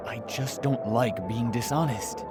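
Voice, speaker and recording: An actor playing a worried, reluctant young god in disguise in an audio drama, with a measured, doubtful delivery. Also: male voice, medium pitch, over loud music, lightly acted. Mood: uneasy, reluctant.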